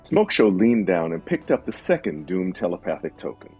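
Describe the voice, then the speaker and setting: Male narrator for fantasy action drama: low register, highly animated storytelling, faint music underneath. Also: moderate pace.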